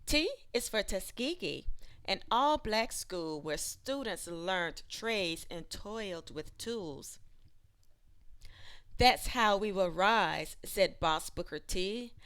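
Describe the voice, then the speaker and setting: A woman with a mid-pitched voice reads in the manner of a teacher sharing a picture book. Her pace is slow and measured, and her phrasing is expressive and deliberate. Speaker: educator reading a children's book aloud. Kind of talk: expressive read-aloud of rhyming children's book.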